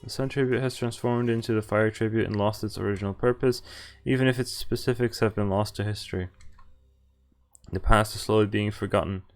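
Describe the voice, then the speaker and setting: A man's voice: lowish voice, steady tone, brisk. A man streaming a visual novel, reading its text aloud and breaking off to swear and react. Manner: reads narration evenly, breaks off to swear and react.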